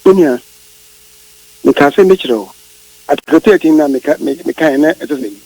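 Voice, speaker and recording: Higher-voiced Ghanaian man, emphatic explainer. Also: brisk, mellow, rough recording. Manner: emphatic, repeats phrases and asks rhetorical questions. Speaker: political interviewee. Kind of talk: animated explanation in a radio interview, switching between Twi and English.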